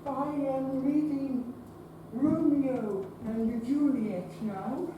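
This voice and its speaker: A young man acting on stage as a gentle, earnest, deformed Victorian man who speaks in halting, deliberate phrases. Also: very high voice, phone-quality sound, full character voice. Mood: earnest, wistful. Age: young adult. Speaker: stage actor in a teen theatre program. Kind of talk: live stage drama acting. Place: theatre stage, recorded from afar. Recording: distant, echoey stage recording with noise.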